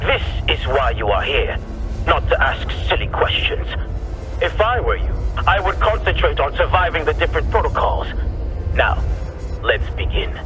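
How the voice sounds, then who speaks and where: Casting: imperious weapons researcher in a video game, high-pitched and very animated, condescending, quick to snap orders, over music. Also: male voice, rough recording. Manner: condescending, theatrical, clipped commands. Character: imperious male scientist running weapons experiments, smug and impatient. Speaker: head of weapons research, a doctor. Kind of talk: video game character acting.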